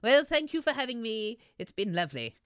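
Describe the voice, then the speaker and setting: A woman's voice: fairly high pitch, sing-song, fairly quick, a bit bright, clear, resonant tone, dry recording, clean studio sound. A woman playing a sweet, simple old granny who bakes, homely, mild and a little bemused, in an audio comedy. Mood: contented, polite. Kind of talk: audio comedy character acting.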